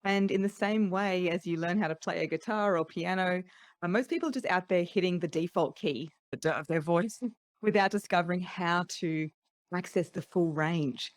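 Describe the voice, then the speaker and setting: A woman's voice: on the low side, moderate pace, no room sound, clean studio sound. A middle-aged woman with an Australian accent, a voice coach speaking articulately and laughing lightly between points in a podcast interview. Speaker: voice coach guest. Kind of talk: casual interview chat.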